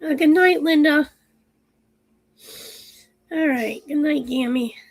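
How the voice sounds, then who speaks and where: A woman hosting a livestream, chatting casually and rambling with viewers while her voice is going hoarse. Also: high voice, slow pace. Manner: chatty, rambling, lots of fillers. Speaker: livestream host. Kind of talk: casual chat with live chat viewers.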